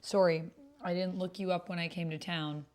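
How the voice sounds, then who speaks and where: A woman plays a confident, sassy young woman in a tabletop roleplay. Her talk is breezy and chatty, with a teasing edge and a slightly low pitch. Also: level tone, normal speed, natural voice.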